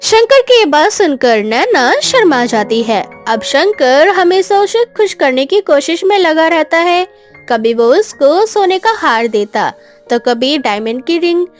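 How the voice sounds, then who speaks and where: A woman narrates a moral story from a script. Her voice is high and very animated in pitch, with faint music underneath. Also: measured pace.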